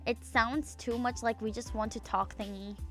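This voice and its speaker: A young woman with a bright, fairly high voice rambles through a casual live stream, swearing freely and stumbling over fillers and false starts. Faint music plays behind her. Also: steady tone, moderate pace. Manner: rambling, profane, filler-heavy, trails off mid-sentence. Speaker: VTuber streamer. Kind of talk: casual stream chat, reading chat aloud.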